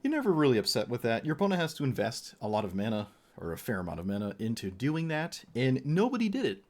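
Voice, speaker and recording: A man's voice: medium pitch, big pitch swings, quick pace, darkish tone. Guy streamer rambling thru card game opinions, chatty, voice kinda wearing out. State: voice getting tired, losing it. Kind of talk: casual unscripted game commentary.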